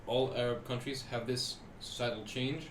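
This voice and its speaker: A young man from Iraq chatting casually as a podcast guest, animated and laughing as he tells stories. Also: mid-range voice, normal speed. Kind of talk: casual chat. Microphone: set too far from the mic at times. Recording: roomy, with faint ambient noise.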